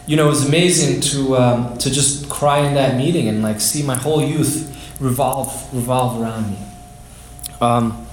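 A man's voice: mid-range voice, roomy sound, a little hiss or hum, poor audio. A man in his late thirties from New York City, telling his recovery story at a twelve-step meeting, rambling with lots of fillers and restarts and laughing at himself. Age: 30s. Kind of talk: personal recovery share.

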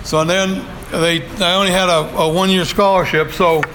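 A man's voice: high voice, little pitch movement, normal speed, a bit bright, slight background noise, low-quality recording. An older man sharing his story at a support group meeting, rambling and folksy with many fillers, speaking into a handheld mic in a meeting room. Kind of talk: personal share at a recovery meeting. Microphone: handheld microphone.

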